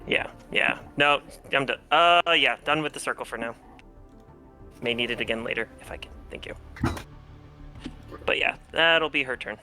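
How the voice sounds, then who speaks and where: Tabletop player, bright mid-pitched voice, halting casual game talk, faint music underneath. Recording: music behind the voice. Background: background music.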